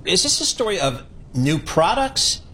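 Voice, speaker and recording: Male interviewer, animated pitch, slightly distant, faint rumble. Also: unhurried.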